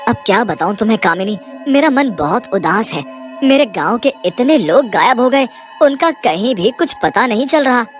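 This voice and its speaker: A woman's voice: fairly high pitch, animated, moderate pace, soft background music, natural voice. A woman voicing a worried, grumbling village man in a cartoon horror story, with a plaintive manner. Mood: sad.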